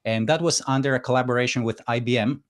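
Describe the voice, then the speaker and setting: A man from Greece speaking as a guest in a podcast interview. He talks at a relaxed pace, hesitating often with "uh" and the odd soft laugh. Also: medium pitch, fast talker. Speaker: guest engineer. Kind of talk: casual interview chat.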